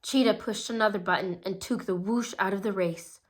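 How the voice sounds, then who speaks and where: A woman narrating a kids' picture book in a calm, gentle read-aloud voice of middle pitch. She keeps an easy, steady storytelling pace and now and then trips over a word and fixes it. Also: fairly quick. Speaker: storybook reader.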